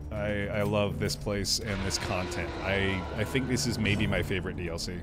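A man's voice: on the low side, fairly even intonation, moderate pace. A man, giving casual, playful live commentary as a game streamer. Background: game music and effects.

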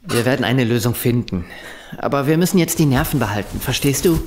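A man's voice: medium pitch, lively intonation, fairly quick, darkish tone, natural voice. Man playing a firm, reassuring prisoner. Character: steady male prisoner calming the others. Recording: noisy radio drama scene.

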